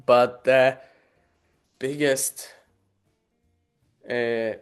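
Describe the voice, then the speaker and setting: A young Polish man speaking English slowly, answering an interviewer with frequent pauses and fillers and breaking into easy laughs. His pitch sits in the middle range, and faint music plays behind him. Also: steady tone, clear, resonant tone. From Poland. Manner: hesitant, many fillers, laughs easily. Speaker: guest.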